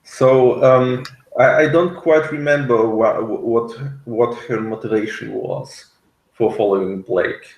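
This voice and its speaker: A man in a casual discussion of a TV series, speaking haltingly as he searches for words. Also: medium pitch, fairly even intonation, lo-fi sound. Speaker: reviewer on a discussion show. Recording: echoey room sound.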